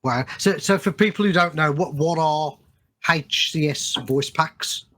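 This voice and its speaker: A man hosting a casual podcast chat, speaking in a halting way and repeating words as he frames questions. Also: fairly high pitch, fairly bright tone. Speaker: podcast host.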